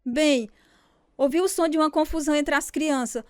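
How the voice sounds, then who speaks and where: A very high-voiced woman schoolteacher from the countryside, played by an actress in a radio drama. She speaks formally and emphatically, in a dry studio sound. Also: normal speed, dry recording, close to an everyday voice. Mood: indignant.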